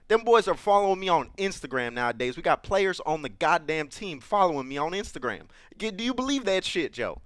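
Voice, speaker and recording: A man's voice: a little high, sing-song, a little fast, few pauses, fairly bright tone, clean tone, no room sound. A man playing a brash, foul-mouthed football coach. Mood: boastful. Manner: boastful, crude, keeps addressing the host by name. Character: brash profane male college football coach. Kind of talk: improvised comedy interview sketch.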